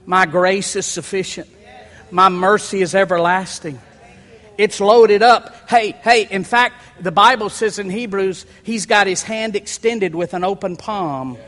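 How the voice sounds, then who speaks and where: High, bright-voiced preacher man in a hall. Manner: builds to shouting crescendos, then drops low; folksy, humorous asides. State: sweating and worked up. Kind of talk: sermon. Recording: long hall reverb.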